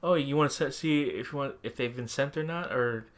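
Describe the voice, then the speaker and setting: A man's voice: medium pitch, brisk. A man co-hosting a podcast in casual, chatty conversation. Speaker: podcast co-host. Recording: clear background noise, room ambience.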